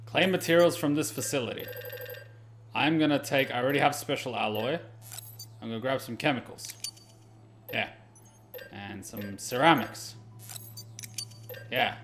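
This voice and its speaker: A man's voice: mid-range voice, measured pace, pauses often, a bit bright, a little hiss or hum. A man giving casual gameplay commentary as a streamer, talking to himself and swearing now and then. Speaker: game streamer.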